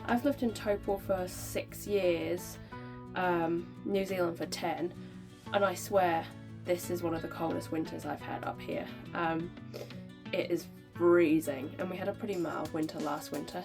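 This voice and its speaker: A woman's voice: fairly low pitch, steady tone, normal speed, music in the background. A woman in her thirties chatting casually in a gardening vlog, stuffy-nosed and nasal from a cold, rambling with lots of ums. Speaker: gardening vlogger. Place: backyard greenhouse.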